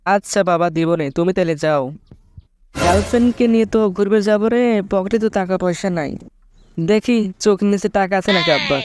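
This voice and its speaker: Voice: mid-range voice, fairly quick, noisy background, natural voice. A performer voicing an earnest young cartoon boyfriend with a Bangladeshi accent, plaintive, in colloquial village speech. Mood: eager. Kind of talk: comedy cartoon dialogue and narration. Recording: music under the voice.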